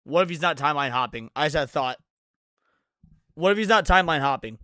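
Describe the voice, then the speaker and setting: A man giving casual, crude reaction commentary as a streamer, thinking out loud, swearing freely and reading text aloud. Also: a little high, animated, fast talker, clean tone, no echo, clean studio sound. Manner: crude, profane, opinionated.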